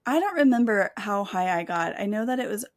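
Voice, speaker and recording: A woman's voice: mid-range voice, expressive, brisk, dry recording, studio quality. A woman speaking as a guest on a casual podcast, earnest and often restarting her sentences. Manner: earnest, with frequent restarts and self-corrections.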